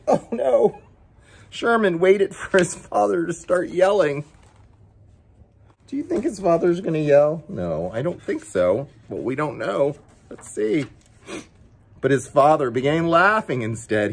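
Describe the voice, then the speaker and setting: A man's voice: a little high, breathy edge. A man reading a children's picture book aloud as story time host, with playful, chatty asides to his pet dog.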